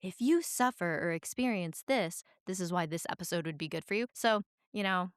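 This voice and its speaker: A woman's voice: fairly low pitch, moderate pace, clear, resonant tone, dry recording. Woman in her twenties, podcast host, under the weather, voice on the edge of cracking, rambling and self-deprecating, dry close mic. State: sick, voice cracking. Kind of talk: solo podcast monologue.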